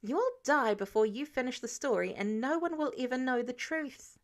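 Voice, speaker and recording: A woman voicing a cheeky, sarcastic teenage boy superhero with quick, indignant retorts in an audiobook story. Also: sits high, animated. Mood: sassy, impatient.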